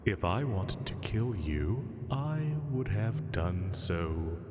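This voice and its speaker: Man acting as a giant dragon, low-pitched, teasing purr, soothing yet faintly menacing, roleplay audio, long cave-like reverb. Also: animated, music in the background. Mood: coaxing, languid. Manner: slow, soothing, teasing purr with drawn-out pauses. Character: huge male dragon, lazily amused, gently menacing. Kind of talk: roleplay sleep-aid audio drama.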